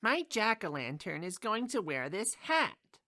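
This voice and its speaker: A woman's voice: mid-range voice, big pitch swings, measured pace. A woman voicing an eager, emphatic child in a picture book read-aloud. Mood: pleased. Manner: bright, emphatic. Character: eager, urgent child customers at a pumpkin stand.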